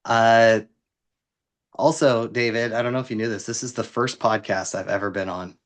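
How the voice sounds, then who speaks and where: A man's voice: mid-range voice, steady tone, moderate pace. A man in his thirties from the US Pacific Northwest, a guest on a podcast, talking with self-deprecating humor. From Vancouver, Washington, US. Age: 30s. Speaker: interview guest, city housing worker. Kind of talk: conversational interview.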